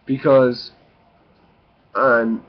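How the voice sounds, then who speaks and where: A man in his late twenties giving a casual, confessional vlog monologue, with halting, stop-and-start phrasing. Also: mid-range voice, little pitch movement. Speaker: video blogger. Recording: muffled lo-fi with faint hiss.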